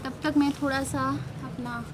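Young woman host, chatty, explains to viewers, fairly high voice, arm's-length camera, rooftop with steady outdoor noise. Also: moderate pace. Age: young adult. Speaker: vlog host. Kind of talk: casual chat to camera. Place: open rooftop terrace, outdoors. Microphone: handheld camera at arm's length. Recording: noisy outdoor ambience.

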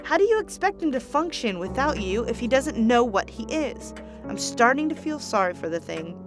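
A woman's voice: on the high side, very animated, moderate pace. A woman playing a principled, concerned doctor in an audio drama, earnest and articulate. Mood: angry. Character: principled, concerned female doctor, insistent yet tender. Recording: music under the voice.

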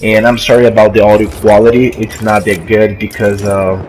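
A man's voice: on the low side, flat delivery, darkish tone. A young man from Brazil with a Brazilian Portuguese accent, a non-native English speaker who halts and restarts while commenting on his gameplay. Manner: halting, searching for words, many restarts. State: coughing from allergy. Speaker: gamer. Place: friend's house while traveling. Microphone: makeshift mic, not his usual. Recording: noisy, poor-quality home recording.

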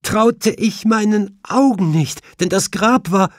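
A man's voice: high-pitched, slow pace, no room sound, studio quality, voice pushed up. A man voicing a distraught, weeping widow in an audiobook, shaken and tearful.

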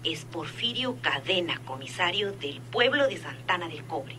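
An actress in a radio drama playing a dutiful head nurse informant, precise, businesslike and deferential, on a noisy old recording. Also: female voice, on the low side, big pitch swings, normal speed, sharp tone, breathy edge, exaggerated voice. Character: dutiful female head nurse secretly informing a crime boss. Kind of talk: radionovela acting.

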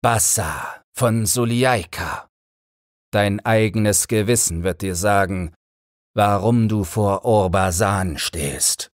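A man's voice: fairly low pitch, slow, no echo, clean studio sound, voice pushed up. Man acting fearsome robber chief, commanding and raised. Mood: stern. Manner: commanding, menacing, formal. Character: fearsome, stern, wrathful male robber chief.

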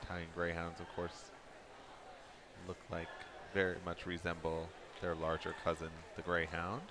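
A man commentating live on a dog show, with a relaxed, self-deprecating joking manner. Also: low-pitched, normal speed, noisy background.

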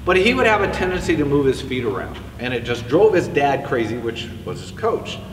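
A man's voice: mid-range voice, big pitch swings, fairly quick, slight background noise. A middle-aged man teaching baseball hitting to the camera in a casual, conversational way, moving about and showing each point with a bat. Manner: conversational, demonstrating with a bat while he talks. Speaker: hitting instructor. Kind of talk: spoken coaching lesson to camera. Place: indoor batting cage with netting. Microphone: far camera. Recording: echoey indoor facility, distant mic.

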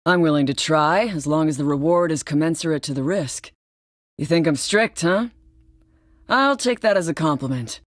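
A woman's voice: low voice, normal speed, no echo, clean studio sound, lightly acted. An actress playing a confident, tough female tactical soldier, direct and businesslike, in video game voice lines. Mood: cool, confident.

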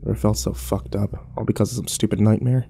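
A man's voice: lowish voice, little pitch movement, quick pace, creaky, mellow, husky. An actor playing a weary, irritable hospital patient who tells his story in a sullen, sardonic first-person horror narration. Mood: weary, brooding.

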